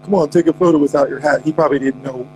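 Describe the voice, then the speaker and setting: A man's voice: a little high, steady tone, rapid-fire, darkish tone, slight background noise, poor audio. A man hosting a casual podcast chat, joking around with lots of restarts and goofy asides. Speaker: podcast host.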